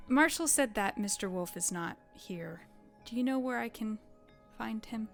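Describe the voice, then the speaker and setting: A woman's voice: a little high, expressive, measured pace, gravelly, faint music behind. An actress playing a curious, skeptical young woman journalist who stammers when flustered and asks probing, wry questions. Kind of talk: audio drama table read.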